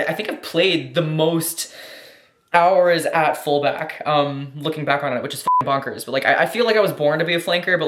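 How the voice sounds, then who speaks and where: A woman's voice: low voice, fairly bright tone. American woman doing a casual q&a, super effusive, swears a lot, says like constantly, roomy echo. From United States. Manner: effusive, slangy, heavy swearing, frequent "like". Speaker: vlogger answering questions. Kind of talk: casual Q&A chat.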